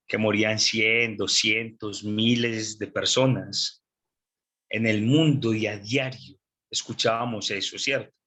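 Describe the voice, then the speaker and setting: Slow congested preacher man. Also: on the low side, pushed and bright. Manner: slow, deliberate preaching with repeated phrases and throat clearing. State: congested with a cold. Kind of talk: sermon.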